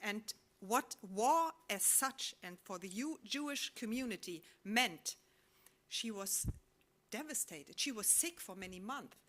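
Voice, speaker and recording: A woman speaks at a mid-range pitch in a reflective public talk. She poses questions to her listeners and often stops to restart a word or phrase. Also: lively intonation, fairly bright tone. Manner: reflective, posing rhetorical questions; halting, with frequent restarts. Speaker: conference speaker. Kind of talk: public talk sharing collected testimonies.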